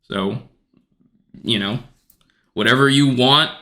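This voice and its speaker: A man's voice: mid-range voice, slow, bright, forceful tone. A man in his twenties talking earnestly in a casual podcast conversation, rambling with many restarts and fillers. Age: 20s. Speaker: podcast guest.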